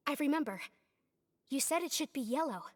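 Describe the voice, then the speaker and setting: A woman playing a prim, sharp-tongued but courteous young lady in a video game. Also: sits high, expressive, brisk, long reverb tail. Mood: polite.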